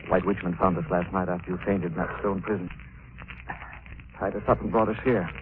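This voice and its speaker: An actor playing a serious, composed adventurer sleuth in a 1940s radio drama, speaking gravely and deliberately. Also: male voice, low-pitched, steady tone, natural voice. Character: composed, serious male adventurer sleuth protecting his companion. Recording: tinny, hissy old radio recording.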